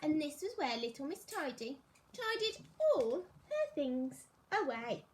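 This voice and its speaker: Very high-pitched, animated woman storyteller. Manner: expressive, deliberate storytelling with rhetorical questions. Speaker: primary school teacher reading to young pupils. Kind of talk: animated children's story read-aloud.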